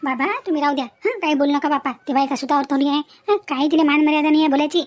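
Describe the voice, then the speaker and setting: Woman playing high-pitched, outspoken village mother in cartoon. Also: quick pace, close to an everyday voice. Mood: indignant. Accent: rural Vidarbha Marathi. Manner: chatty, emphatic, repetitive scolding and advising. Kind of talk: animated family story dialogue.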